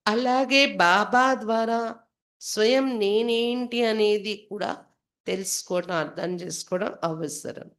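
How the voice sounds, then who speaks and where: A woman from India, a spiritual teacher reading devotional text and explaining it line by line, repeating phrases for emphasis. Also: mid-range voice.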